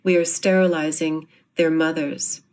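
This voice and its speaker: A woman giving a prepared, formal speech with earnest, measured phrasing. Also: low voice, little pitch movement, darkish tone. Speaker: speaker introducing a university theatre group.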